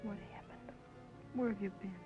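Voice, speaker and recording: An earnest, lovestruck young woman from a vintage noir melodrama, played by an actress. Her medium-pitched voice pleads plaintively through a muffled old soundtrack, with music playing behind. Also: fairly even intonation, moderate pace, close to an everyday voice. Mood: yearning, earnest. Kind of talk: film noir melodrama acting, mid-century.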